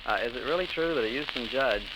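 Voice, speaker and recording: American guy hosting an old 1930s radio interview, kinda halting and polite, super crackly noisy recording. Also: mid-range voice.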